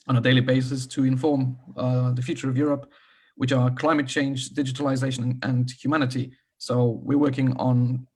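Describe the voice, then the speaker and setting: Hoarse, husky man with a cold. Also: mid-range voice. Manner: hesitant, self-deprecating, many fillers. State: sick, losing his voice, coughing. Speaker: event co-host. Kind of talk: opening remarks at an online panel. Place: video call.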